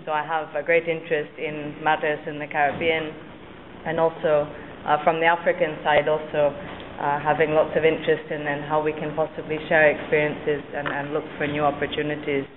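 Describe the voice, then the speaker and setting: A woman's voice: deep voice, completely flat delivery, brisk. A woman from Kenya introducing herself informally to a meeting. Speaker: meeting participant, museum professional. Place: university meeting room. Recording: muffled, noisy meeting recording.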